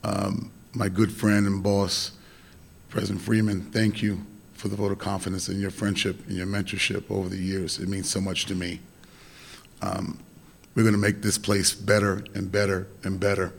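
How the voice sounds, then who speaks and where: A hoarse man giving a halting, heartfelt thank-you speech into a meeting-room microphone. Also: low voice, little pitch movement. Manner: halting, many pauses and fillers.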